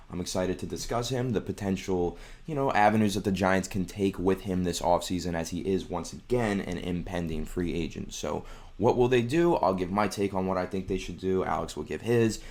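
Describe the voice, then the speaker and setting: Young male co-host, very low pitch, throat scratchy from a head cold, chatty and plain. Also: a little mellow. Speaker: podcast co-host. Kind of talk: casual sports podcast chat.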